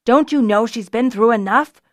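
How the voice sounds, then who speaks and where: A woman voicing an angry, protective and suspicious man with an accusing, forceful manner, in an audiobook story. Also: medium pitch, expressive, measured pace, no echo.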